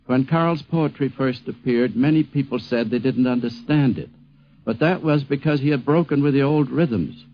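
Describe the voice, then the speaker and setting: A man's voice: mid-range voice, normal speed. A man speaking emphatically and repeating words for effect as he reminisces and explains, on a 1950s radio programme. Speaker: interviewee recalling a poet friend. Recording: dull 1950s radio recording.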